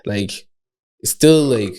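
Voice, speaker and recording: A man's voice: fairly low pitch, expressive, takes it slowly, mellow. A man, a guest rapper from Quebec, talking in a loose, slangy way with frequent swearing and laughter. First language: Haitian Creole. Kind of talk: casual podcast conversation.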